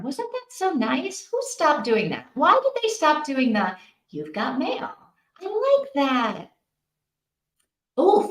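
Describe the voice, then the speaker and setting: Chatty, expressive woman in an echoey room. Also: on the high side. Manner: chatty, expressive, thinking aloud, reacting with interest. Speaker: host of a live reading-sprint stream. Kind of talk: casual livestream chat with viewers. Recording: roomy, echoey sound.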